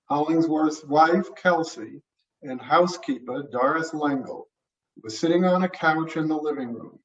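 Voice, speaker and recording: An older man, an author, reading his own mystery short story aloud as narration. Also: fairly high pitch. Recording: roomy, muffled, distant mic.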